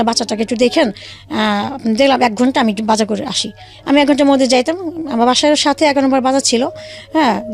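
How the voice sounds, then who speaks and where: High-voiced young woman, speech. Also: creaky, a little mellow. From Bangladesh. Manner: halting, many fillers and restarts, re-enacts dialogue. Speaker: interview guest telling her life story. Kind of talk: casual spoken storytelling.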